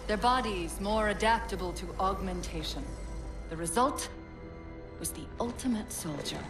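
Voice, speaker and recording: An actress playing a proud military scientist under interrogation in a game cutscene, cool, precise and unapologetic. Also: female voice, mid-range voice, normal speed, close to an everyday voice. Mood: coolly defiant. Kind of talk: dramatic video game cutscene acting. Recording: echoey room with music behind.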